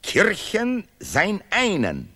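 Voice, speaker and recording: An actor playing a pompous, sanctimonious male laureate who declaims vehemently in deliberately broken grammar in an absurdist radio play. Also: high voice, wildly swooping pitch, pushed and bright, breathy edge, exaggerated voice.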